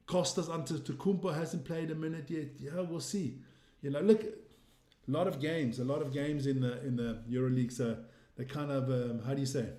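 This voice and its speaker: A man's voice: on the high side, dark tone. Chatty South African man in an echoey room. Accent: South African English. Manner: rambling, chatty, reading viewer comments aloud. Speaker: livestream host. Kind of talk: casual live-stream commentary.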